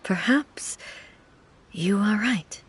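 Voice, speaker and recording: A calm young woman in a video game, considerate and reassuring. Her voice sits at a middle pitch, with faint noise in the background. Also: extremely slow. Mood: gentle, reassuring. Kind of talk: scripted video game voice acting.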